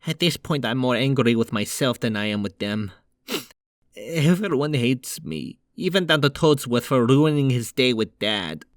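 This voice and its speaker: A man playing an anxious, guilt-ridden younger-brother plumber in an animated comic dub, sighing and putting himself down. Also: mid-range voice, measured pace, no room sound, clean studio sound, natural voice. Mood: frustrated, downcast. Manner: sighing, self-deprecating.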